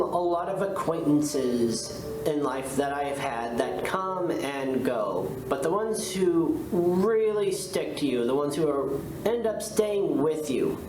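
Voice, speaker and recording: A woman in her thirties giving reflective interview answers, pausing to find her words. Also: deep voice. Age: 30s. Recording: echoey room with music behind.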